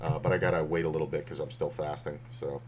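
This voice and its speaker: Deep-voiced, slightly hoarse man streamer. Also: fairly even intonation, fast talker, noisy background. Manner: rambling, loose, with many fillers and restarts. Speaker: poker streamer. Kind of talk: casual commentary on poker hands.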